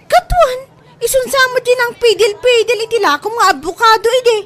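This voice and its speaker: A woman's voice: extremely high pitch, normal speed, a bit bright. A woman playing a nosy, teasing market stallkeeper in an Ilocano radio comedy drama, with an Ilocano accent. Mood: teasing, surprised.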